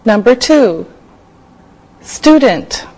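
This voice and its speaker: An older woman teacher speaks at an extremely slow, deliberate pace, pronouncing each word with care for learners. Her voice sits a little high. She is recorded close on a lapel mic in a TV studio. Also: sing-song, darkish tone, poor audio. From Canada. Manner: slow, clearly enunciated dictation. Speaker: English teacher. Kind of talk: slow scripted classroom teaching. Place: TV studio set.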